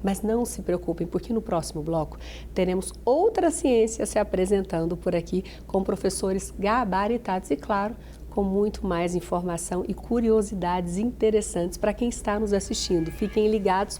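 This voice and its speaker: Mellow-voiced woman host. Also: mid-range voice, expressive, moderate pace, faint music behind. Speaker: program host. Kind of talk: presenter links.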